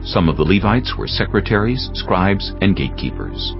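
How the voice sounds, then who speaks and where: Deep, gravelly male scripture narrator. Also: animated. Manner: solemn, deliberate phrasing. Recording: slightly dull audio with music bed.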